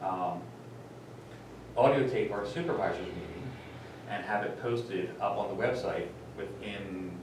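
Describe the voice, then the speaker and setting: A man's voice: on the low side, a little slow, heavy reverb, far from the mic, noisy background. A man chairing a township board meeting, talking easily with frequent "um"s and chuckles, recorded on a tabletop recorder in a meeting room. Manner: easygoing, laughs often, hesitant fillers. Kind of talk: casual meeting discussion.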